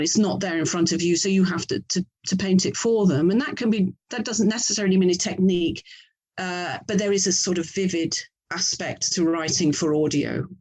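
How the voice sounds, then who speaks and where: A woman's voice: on the low side, darkish tone, husky. Thoughtful woman in conversation. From England. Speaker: interview guest, author and audio drama producer. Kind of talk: conversational interview.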